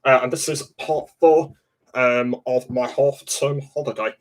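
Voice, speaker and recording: A young man talks to his webcam from a dark room at home. His voice stays flat and even in pitch, and his speech halts on constant fillers and restarts. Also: unhurried. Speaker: livestream host. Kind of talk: casual livestream chat.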